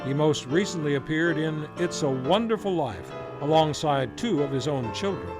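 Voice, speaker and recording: Guy hosting an old time radio hour, kinda high voice, guitar playing behind him. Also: lively intonation. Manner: announcer-like, formal and measured. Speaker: radio play host. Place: local community theater stage. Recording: live show with guitar music behind.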